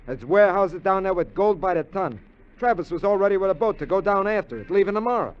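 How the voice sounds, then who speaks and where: An actor playing an eager, scheming American burglar sidekick in a 1950s radio drama, talkative, slangy and wheedling. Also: male voice, sits high, lively intonation, quick pace, smooth. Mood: excited, persuasive. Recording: dull vintage radio recording.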